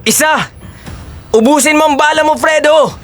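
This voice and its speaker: Audio drama hero, male actor: righteous horseman, stern commands and taunts, sharp projected voice, music underneath. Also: very high-pitched, moderate pace. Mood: taunting, scornful.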